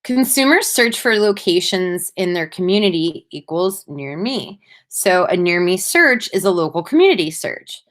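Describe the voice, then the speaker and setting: A woman delivering a prepared marketing presentation as a conference speaker, in a measured, scripted-sounding way with emphatic phrasing. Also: lowish voice, sing-song.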